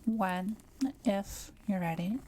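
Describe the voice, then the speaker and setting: A woman playing a kind, thoughtful witch who is proud of her skill, speaking gently and a little hesitantly to the listener in an intimate audio roleplay. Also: mid-range voice, fairly even intonation, slow. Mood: gentle, patient. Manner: gentle, hesitant, explanatory musing with small hums.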